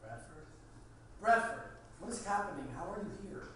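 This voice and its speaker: A flustered, self-doubting executive played by a man, halting and bewildered with lively swings of pitch. It is a comedy table read, heard at a distance in a noisy room. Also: very echoey, exaggerated voice.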